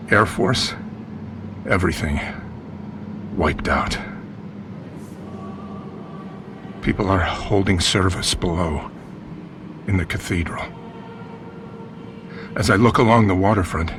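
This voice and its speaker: A man's voice: fairly low pitch, lightly acted. An actor playing a male news reporter giving a dramatic eyewitness account in short phrases for a radio drama. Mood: grave, shaken.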